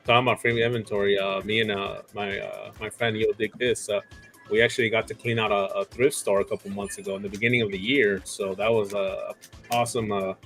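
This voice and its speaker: Low-voiced man in his forties. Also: fairly quick, vocal fry, soft background music. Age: 40s. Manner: relaxed, many fillers and restarts. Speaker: guest reseller. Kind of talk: casual chat.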